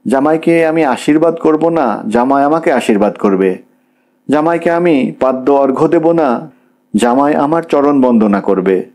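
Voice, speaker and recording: A scolding, anxious middle-aged mother in an audiobook story, voiced by a man. The voice is lifted in pitch, exclaiming and moralizing with orthodox severity. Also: animated, measured pace, darkish tone, lightly acted. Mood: shocked, scolding.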